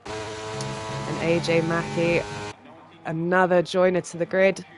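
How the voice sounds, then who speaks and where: A sim racing commentator calls a live session, voice thick and stuffy from a cold. The pitch sits in the middle, and the delivery jumps quickly with excitement at fast laps. Music plays clearly in the background. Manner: excitable, quick reactions to lap times. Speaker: race commentator.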